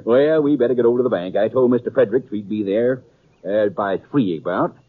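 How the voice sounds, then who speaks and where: A man's voice: lowish voice, brisk, close to an everyday voice. An actor playing a folksy, teasing Old West townsman with a rural western American accent in a 1950s radio drama. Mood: jovial, teasing. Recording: tinny, narrow-band 1950s radio recording.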